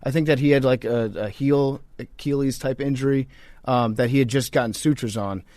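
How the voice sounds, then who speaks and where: A man's voice: mid-range voice, a little fast, a little mellow, no room sound, clean studio sound. A man co-hosting a sports podcast in casual, profane banter, telling stories informally. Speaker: podcast co-host.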